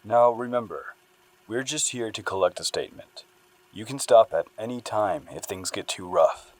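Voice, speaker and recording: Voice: mid-range voice, moderate pace, a little mellow, close to an everyday voice. An actor playing a stern, official police interviewer taking a witness statement in an audio drama. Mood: calm, procedural. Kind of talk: dramatic audio fiction acting.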